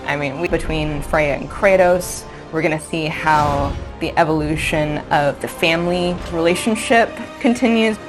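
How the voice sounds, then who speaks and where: A woman talks as a game developer in an interview. Her voice is notably deep for a woman, with music clearly playing underneath. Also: moderate pace, hardly any pauses. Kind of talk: promotional interview.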